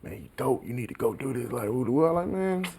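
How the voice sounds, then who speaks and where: A man from Alabama speaks as a guest in a relaxed interview. His voice sits at a medium pitch with a noticeable gravelly creak. He has a Southern American accent and talks informally, peppering his answers with slang and little filler phrases. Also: fairly quick, a crowd in the background. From small town in Alabama, USA. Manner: informal, slangy, frequent "like" and "you know". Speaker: guest, recording engineer and mixer. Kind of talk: casual interview chat.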